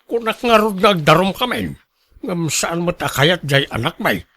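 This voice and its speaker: A man's voice: sits high, big pitch swings, normal speed, pushed and bright, full character voice. An actor playing an indignant older father in a radio drama, protective of his daughter, speaking with emphatic insistence. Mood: complaining, aggrieved.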